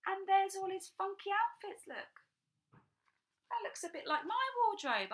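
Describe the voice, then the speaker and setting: A woman's voice: a little high, bright, forceful tone. A young woman reading a picture book to children, breaking off for chatty, enthusiastic asides. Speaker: children's storytime reader.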